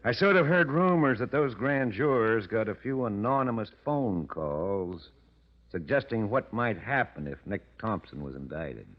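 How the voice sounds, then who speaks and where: Casting: scheming mob press agent, low-pitched, insinuating and goading, old-time radio drama with dulled sound. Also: male voice, expressive, unhurried. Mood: sly, goading. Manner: insinuating, needling, smooth-talking schemer. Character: sly, goading male press agent to a gangster. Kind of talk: 1940s radio drama acting. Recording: dull vintage radio broadcast.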